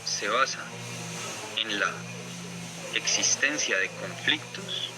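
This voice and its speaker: A man giving a university law lecture, speaking slowly and deliberately, pausing between phrases. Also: lowish voice, expressive, poor audio.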